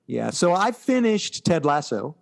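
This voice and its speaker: A man's voice: a little high, big pitch swings, a little slow, creaky. An American man co-hosting a podcast, chatting casually and with enthusiasm about pop culture. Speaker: podcast co-host.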